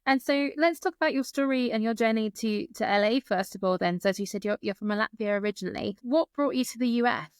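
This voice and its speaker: A woman hosts a casual interview podcast. She talks very quickly in a clear, smooth voice, recorded close and dry. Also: on the high side, no echo. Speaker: podcast host.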